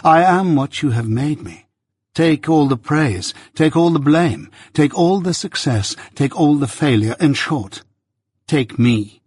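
A male narrator gives voice to a young adopted daughter in a classic novel. The speech is proud and cool, measured and unyielding, recorded dry and close. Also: a little high, lively intonation, a little mellow, breathy edge, no room sound, pitched above the performer's own voice. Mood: cool reproach.

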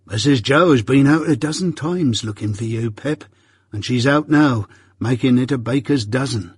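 A man voicing a slow, gentle and simple village blacksmith who speaks mildly and plainly. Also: mid-range voice, husky, no echo, clean studio sound, close to an everyday voice. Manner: slow, mild and plain-spoken.

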